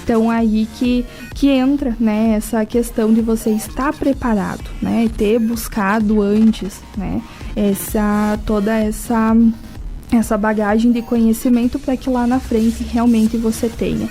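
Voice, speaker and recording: High, mellow southern Brazilian woman, explaining. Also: fairly even intonation, unhurried, faint music behind. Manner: didactic, peppered with rhetorical questions and check tags. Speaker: interview guest from a language school. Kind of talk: explanatory radio interview talk.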